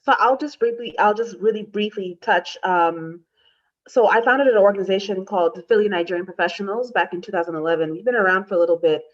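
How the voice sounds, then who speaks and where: A woman's voice: mid-range voice, fast. An American woman in her thirties explaining her community work as a panelist on a video call. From United States. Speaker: panelist, community organization founder. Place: room indoors, video call. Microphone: computer mic on a video call. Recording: slightly dull video-call audio.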